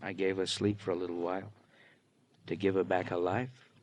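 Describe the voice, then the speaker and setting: An actor playing a weary, compassionate police hospital doctor in an old radio crime drama, somber, clipped and a little testy. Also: male voice, sits low, little pitch movement, moderate pace, a little mellow, a little hiss or hum, lightly acted. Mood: weary, pained.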